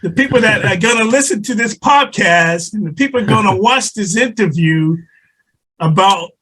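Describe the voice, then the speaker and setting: A man's voice: high voice, animated, moderate pace, fairly bright tone, husky, low-quality recording. A man hosting a casual interview podcast, laid-back and laughing often, talking loosely with plenty of fillers. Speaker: podcast host.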